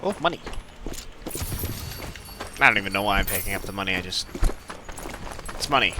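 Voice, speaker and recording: A man's voice: low voice, animated, moderate pace, background noise. A man giving casual, rambling let's play commentary with playful asides. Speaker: gaming video host. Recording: game gunfire and ambience behind voice. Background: game gunfire and effects.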